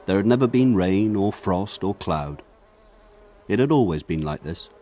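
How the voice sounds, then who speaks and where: An actor playing a grown man narrating his country childhood in a radio drama, in a measured, lyrical, reflective manner. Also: low voice, lively intonation, dark tone. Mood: lyrical, wistful. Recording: faint insect buzz behind voice.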